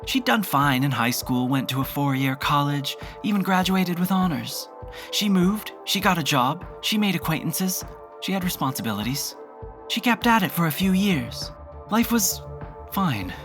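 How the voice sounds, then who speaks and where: A narrator with an androgynous voice reading a scripted story in a measured, wry, reflective way. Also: medium pitch, expressive. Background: music under the voice.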